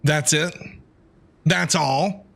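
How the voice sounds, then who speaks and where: A man's voice: fairly high pitch, extremely slow, creaky. A man giving casual, exclamatory reaction commentary as he streams a video game. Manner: animated, exclamatory.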